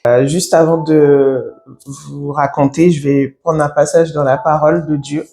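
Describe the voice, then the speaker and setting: Halting woman in her thirties giving testimony. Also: low voice, fairly even intonation, dark tone. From France. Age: 30s. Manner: halting, reflective, many pauses. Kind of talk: personal faith testimony. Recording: echoey room.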